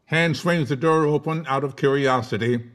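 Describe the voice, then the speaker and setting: A man's voice: a little high, moderate pace. A man reading out stage directions as narrator of a live script table read.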